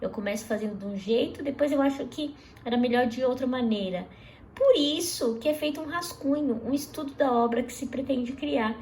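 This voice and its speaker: A woman teaching an art lesson to young pupils in a lively, chatty way, often asking them questions and restarting words. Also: high voice, brisk, a little hiss or hum, poor audio. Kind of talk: recorded class lesson for children.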